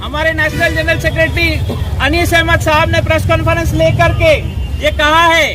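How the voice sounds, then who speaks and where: A man's voice: very high-pitched, level tone, normal speed. An Indian-accented man giving an emphatic protest speech in short, repeated phrases. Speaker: protest speaker, political activist. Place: outdoor street protest before a crowd. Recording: crowd and traffic noise behind.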